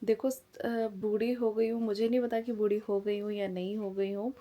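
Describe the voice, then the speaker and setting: A woman's voice: medium pitch, level tone, normal speed, mellow. Frank, chatty woman in her forties. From India. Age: 40s. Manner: frank, chatty, talks plainly and openly. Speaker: family vlogger. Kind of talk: casual chat to camera. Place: at home.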